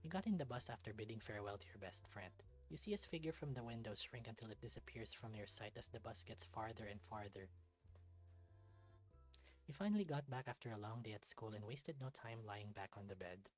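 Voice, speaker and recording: Husky let's-play host, medium pitch, reading a visual novel, casual commentary, storybook-like narration, faint background music. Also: expressive, brisk.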